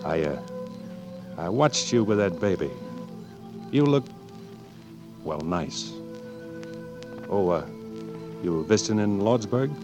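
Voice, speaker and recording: Young cowboy outlaw: low voice, earnest, halting, vintage radio drama with music behind. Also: male voice, animated, low-quality recording. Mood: earnest, shy. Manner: halting, plainspoken western talk. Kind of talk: 1940s radio acting.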